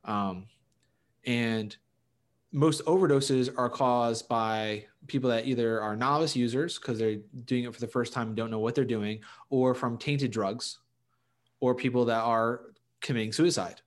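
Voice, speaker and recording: A man's voice: medium pitch, measured pace. Man talking emphatically on a podcast. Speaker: podcast co-host. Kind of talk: casual opinionated podcast chat.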